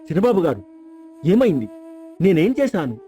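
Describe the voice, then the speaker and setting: An actor playing a loyal, honest servant in a folk tale, respectful and earnest. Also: male voice, high voice, big pitch swings, moderate pace, dark tone, soft background music. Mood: distressed, pleading. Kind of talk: dramatized folk tale acting.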